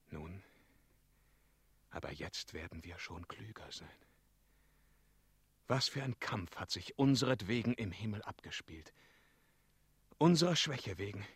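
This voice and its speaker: A dying knightly hero in a radio play, low and very husky. He speaks slowly and haltingly, with a tender, weary idealism. The voice slips into breathy whispers and lifts into strained declamation. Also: a bit bright, no echo, exaggerated voice. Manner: halting, swinging from murmurs to declamation. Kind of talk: German radio drama monologue.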